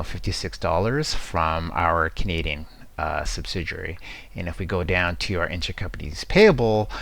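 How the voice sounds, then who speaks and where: A man giving an informal, explanatory screen-share tutorial as a software demo presenter, talking conversationally into a close mic. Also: sits low, vocal fry, dry recording. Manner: explanatory, conversational, checks in with "right?".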